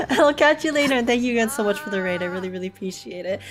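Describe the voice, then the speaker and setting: A young woman with a highish voice hosts a casual game livestream. She is bubbly and chatty, reading and answering comments as she goes. Game music plays lightly behind her. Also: animated, fast talker.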